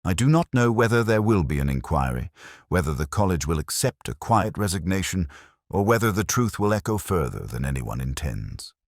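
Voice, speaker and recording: A man playing a weary, reflective older detective, narrating a crime drama in measured, solemn, literary phrases. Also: low voice, big pitch swings, dry recording, studio quality, exaggerated voice. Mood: wistful, restrained. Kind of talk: scripted first-person detective story narration.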